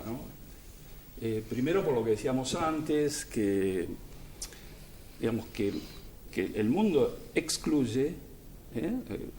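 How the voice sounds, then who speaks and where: A man's voice: mid-range voice, dark tone, roomy sound, slight background noise. A man, speaking as an education specialist on a panel discussion, earnest and emphatic, building his points through repetition.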